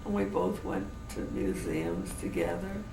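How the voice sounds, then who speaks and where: An elderly woman from New Orleans with a general American accent, slowly reminiscing in an interview with many restarts. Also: mid-range voice, big pitch swings, gravelly. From New Orleans, USA. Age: older. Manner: slow, meandering, with many restarts. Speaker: interviewee, great-grandmother. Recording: noisy, roomy home recording from a distance.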